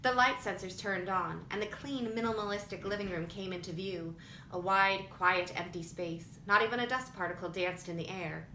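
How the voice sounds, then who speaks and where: A woman's voice: moderate pace, pushed and bright. A woman reading scripted fiction aloud as an audiobook narrator. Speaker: audiobook reader. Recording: roomy echo with faint rumbling noise.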